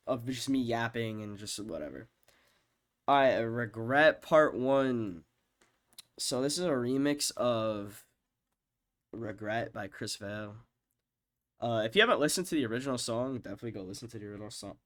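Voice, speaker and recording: A congested young man with a nasal voice, his nose clearly stuffed up from being sick. He rambles casually in a mid-pitched voice, swearing and filling gaps with "um" and "like". He often lets his sentences fade into a mumble. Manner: rambling, profane, many fillers, trails off quietly. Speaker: music producer ranking his own songs. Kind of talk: rambling casual screen-share commentary.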